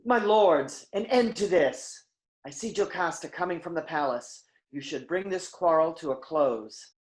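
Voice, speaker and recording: A woman's voice: on the low side, unhurried, a little mellow, natural voice. A woman playing a loyal, conciliatory counselor to a king in a staged Greek tragedy, speaking with earnest formality. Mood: urgent.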